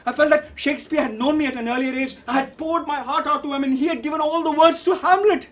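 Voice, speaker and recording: Man acting a high-voiced, theatrical young Indian man. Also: fast talker, far from the mic. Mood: reflective. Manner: theatrical, declamatory, swinging from hushed to shouting. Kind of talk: dramatic monologue storytelling.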